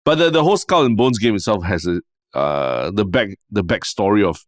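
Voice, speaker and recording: A young man with a Singaporean accent, talking through ideas in a measured, explanatory way in casual podcast chat. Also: on the low side, lively intonation, no echo, studio quality. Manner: measured, explanatory, long rambling sentences. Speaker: podcast co-host.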